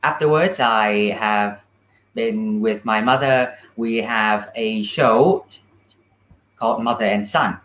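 A seventeen-year-old male, answering as an interview guest with halting, careful phrasing over a video call. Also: lowish voice, a bit bright. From Vietnam. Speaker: student puppeteer, interview guest. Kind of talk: casual interview talk. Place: indoor room, on a video call. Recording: roomy video call sound.

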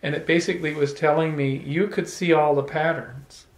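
A middle-aged man telling an animated, playful story to a small audience, holding a handheld microphone. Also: on the high side, normal speed. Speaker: salon speaker. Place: room with small live audience. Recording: echoey room.

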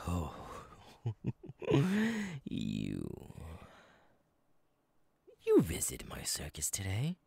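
A male voice actor playing a menacing, giggling, unhinged killer clown monster in a horror ASMR roleplay, raspy and gravelly, sliding between breathy whispers and cackles. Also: sits low, a little mellow, dry recording, clean studio sound, full character voice. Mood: hungry, ominous.

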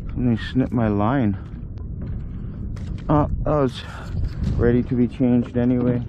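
A man's voice: mid-range voice, steady tone, a little slow, dull audio. A man fishing from a kayak at sea, giving a casual running commentary to himself in short, muttered remarks. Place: on a kayak out on the ocean. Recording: noisy outdoor ocean sound.

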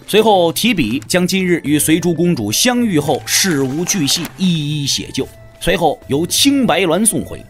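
Male narrator for martial-arts drama; lively intonation, slightly high voice, scripted storytelling. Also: moderate pace, soft background music.

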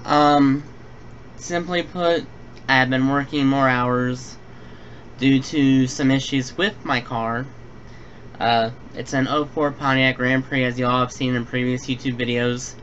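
A man's voice: mid-range voice, fairly even intonation, a little slow, fairly bright tone. Rambling man on a dull home mic. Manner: rambling, many fillers. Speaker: gaming channel host. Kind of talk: casual tutorial and personal update. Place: home room. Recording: dull lo-fi home recording with faint hiss.

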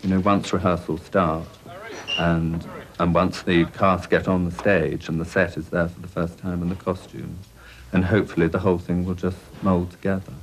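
A man's voice: deep voice, little pitch movement, moderate pace. A man in his thirties, a stage designer, talking casually in a documentary interview. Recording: clearly noisy theatre interview.

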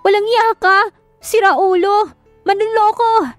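A woman voicing a bitter, defiant young bride with a sharp-tongued, emphatic delivery in an audio drama. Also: very high voice, fairly even intonation, a little slow, no room sound, soft background music, voice pushed up. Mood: furious, tearful.

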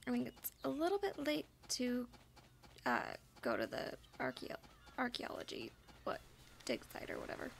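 A woman's voice: a little high, wildly swooping pitch, normal speed, vocal fry, slight background noise. A woman giving casual let's play commentary on a farming game, musing aloud to herself as she plays. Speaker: streamer playing a farming game. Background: game music.

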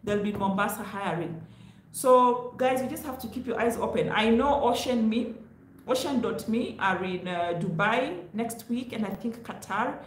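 A woman's voice: on the high side, moderate pace, a little hiss or hum. A middle-aged woman with a Kenyan accent giving casual, emphatic advice to viewers as a vlog host. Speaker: host, careers advice vlogger. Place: small indoor room, home office. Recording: echoey untreated room.

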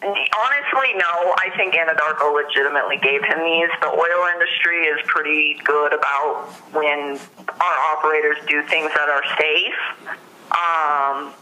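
A woman's voice: low voice, moderate pace, bright, forceful tone, poor audio. An American woman giving a witness account in a phone interview, hesitant and often saying "like", on a thin telephone recording. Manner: hesitant, frequent use of "like". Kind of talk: recounting events in an interview. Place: phone call.

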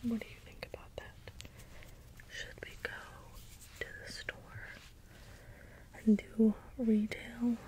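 A woman playing a gentle, puzzled caretaker robot in a soft, breathy ASMR role-play whisper, with halting, deliberate delivery. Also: a little high, level tone. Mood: gentle, curious. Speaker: ASMR performer. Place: small dim indoor space with cloth backdrop. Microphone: close ASMR microphone.

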